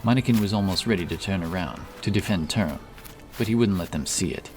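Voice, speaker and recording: Low deep guy reading a fantasy story, solemn and measured, music under it. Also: darkish tone. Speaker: audiobook narrator. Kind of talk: dramatic fantasy story narration.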